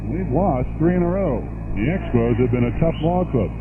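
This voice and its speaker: A man's voice: medium pitch, animated, moderate pace, music in the background. A man doing folksy, conversational play-by-play of a baseball game as a 1970s radio announcer. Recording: noisy phone-like 1970s AM radio broadcast.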